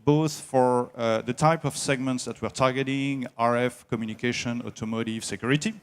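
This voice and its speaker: A man heading an engineering services company, answering interview questions at a trade show in a slow, halting way with many filler sounds. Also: mid-range voice, steady tone, clear, resonant tone.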